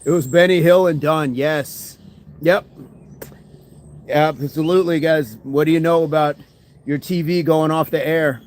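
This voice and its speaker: A man chats casually on a fitness livestream, answering viewer comments and thanking people by name. His speaking voice sits a bit high for a man. He laughs easily and keeps the talk loose and friendly. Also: steady tone, a little slow, long pauses, a little mellow.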